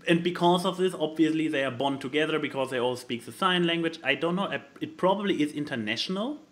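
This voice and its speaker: A man's voice: on the high side, quick pace, fairly bright tone. A man co-hosting a podcast, chatting casually with dry sarcasm. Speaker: podcast co-host. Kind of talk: casual chat.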